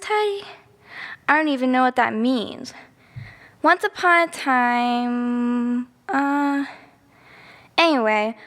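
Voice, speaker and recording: A woman's voice: high voice, fairly bright tone. A woman playing a chatty, easily sidetracked little girl who rambles through a bedtime story with naive asides and self-corrections. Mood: sweet, chatty, then rambling, puzzled. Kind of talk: children's storybook monologue. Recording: long reverberant room echo.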